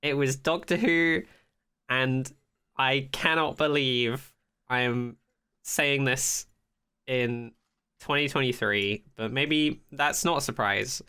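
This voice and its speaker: Bright, crisp-voiced man in blunt podcast chat. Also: medium pitch, slow. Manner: blunt, swears freely. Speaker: podcast host.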